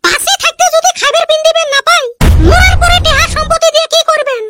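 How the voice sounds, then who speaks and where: Super high cartoon village mom, nagging, sarcastic, rajbongshi accent, loud music behind. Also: female voice, quick pace. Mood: scornful. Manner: scolding, sarcastic. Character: sharp-tongued, nagging village wife and mother. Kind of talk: comic cartoon dialogue.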